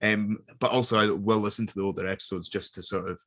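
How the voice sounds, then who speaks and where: Low-pitched young man, casual and chatty. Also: steady tone, fast, a bit bright, no echo. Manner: informal, joking, trails off mid-thought. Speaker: actor being interviewed. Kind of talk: casual chat.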